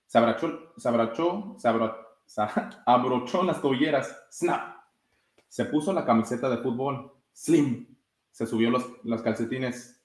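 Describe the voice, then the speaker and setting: Young man, mid-pitched, slightly halting storybook reader, roomy webcam sound. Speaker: soccer goalkeeper reading a children's book. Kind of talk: read-aloud storytime. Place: small room with blinds, indoors.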